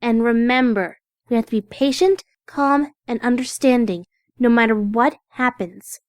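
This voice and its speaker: A woman's voice: a little high, a little slow, close to an everyday voice. A woman voicing an earnest, bossy, bookish young female pony in a storybook, instructive and emphatic. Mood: insistent. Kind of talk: audio storybook character acting.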